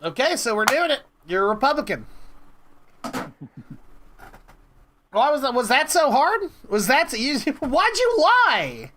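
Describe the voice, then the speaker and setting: A man's voice: very high voice, very animated, measured pace, pauses often, sharp tone. A man in his thirties chatting casually and teasingly, asking probing questions like an interviewer. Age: 30s. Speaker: prank caller and interviewer. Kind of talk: casual teasing phone chat.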